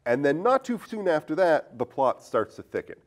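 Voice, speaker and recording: A man's voice: fairly high pitch, very animated, normal speed. A man hosting a podcast in a conversational, analytical way, posing questions as he discusses film. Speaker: podcast host.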